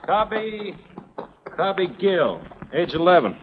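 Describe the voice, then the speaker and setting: An actor playing an eager, plain-spoken Union scout in a Western, in 1940s radio style. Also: male voice, high-pitched, sing-song, slow, full character voice. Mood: somber. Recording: muffled, hissy old radio broadcast.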